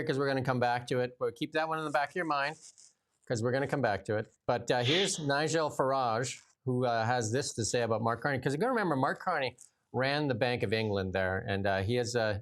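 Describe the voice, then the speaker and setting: A Canadian man co-hosting a casual political talk show, exasperated and sarcastic, his voice jumping higher when he gets riled. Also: fairly quick, a bit bright. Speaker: co-host.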